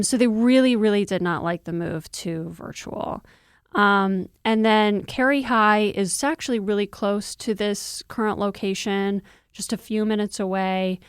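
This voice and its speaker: Clear-voiced woman reporter explaining, close dry sound. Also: medium pitch, steady tone, a little slow, dry recording. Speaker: news reporter, interview guest. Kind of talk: conversational explanatory news discussion.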